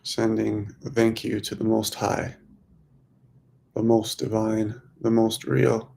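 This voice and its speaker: A man guides a meditation at a slow pace in a fairly low voice with a gravelly creak. He is soothing and unhurried, leaving long silences between short, simple phrases. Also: monotone, mellow, a little hiss or hum. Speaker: meditation guide, host of a weekly group.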